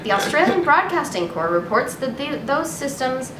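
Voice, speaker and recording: A woman's voice: sits high, sing-song, normal speed. Young woman in an echoey, noisy room. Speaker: host reading news headlines. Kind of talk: casual comedic chat and reading articles aloud. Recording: roomy echo with clear background noise.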